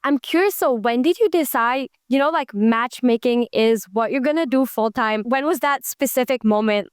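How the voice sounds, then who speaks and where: A woman's voice: fairly high pitch, moderate pace, smooth, dry recording, clean studio sound. Upbeat woman podcast host. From Belgium. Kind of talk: interview hosting.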